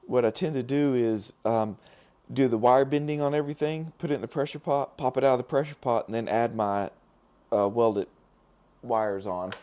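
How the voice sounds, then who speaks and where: A middle-aged man, hoarse and losing his voice, hosting a casual live stream as a dental lab technician, rambling with fillers and restarts while he works and talks to viewers. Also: mid-range voice, fairly even intonation, normal speed, darkish tone.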